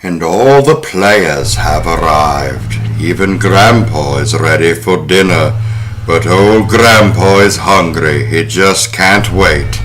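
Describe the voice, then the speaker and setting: A man's voice: low voice, takes it slowly, a bit bright, slight background noise. A man hosting a horror film podcast, speaking in a wry, sardonic way. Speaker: podcast host. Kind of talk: horror film recap and casual discussion.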